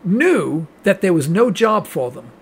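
An older man hosting a radio talk show, with a high, husky voice. He rambles and stammers through his questions, repeating words as he goes. He slips jokes in along the way. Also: big pitch swings, a little slow. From New York. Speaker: radio host. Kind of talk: casual interview.

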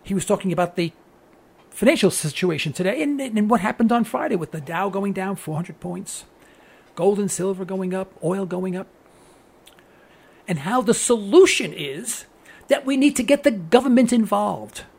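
A man's voice: high voice, animated, moderate pace, vocal fry, a little mellow, slightly rough. A man with a New York accent hosting a political talk radio show, delivering an earnest, preachy monologue that repeats phrases for emphasis.

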